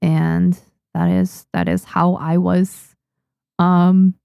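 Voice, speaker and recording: A woman's voice: lowish voice, little pitch movement, extremely slow, creaky, smooth, no room sound, lo-fi sound. A young woman from Japan, a native Japanese speaker, chatting casually with viewers on a livestream, with frequent restarts and small laughs. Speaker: manga artist streamer. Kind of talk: casual livestream chat reading viewer comments.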